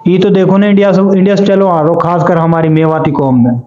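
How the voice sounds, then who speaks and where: A man's voice: high-pitched, steady tone, a little fast, dark tone. A man in his twenties with a Mewati accent, interviewing villagers in a conversational way. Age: 20s. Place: small bare-walled indoor room. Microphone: small handheld mic passed between speakers. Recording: muffled, echoey small room.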